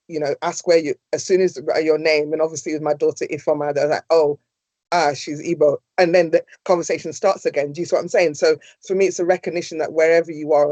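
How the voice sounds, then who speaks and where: A woman's voice: low voice, mellow, no echo. A middle-aged woman, a former councillor, giving earnest interview answers in long flowing sentences.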